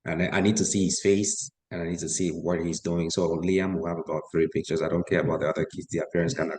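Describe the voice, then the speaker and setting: A man with a low-pitched voice talks as a guest on a talk show. He speaks conversationally, giving his own account as a parent. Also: little pitch movement, quick pace, a little mellow, poor audio.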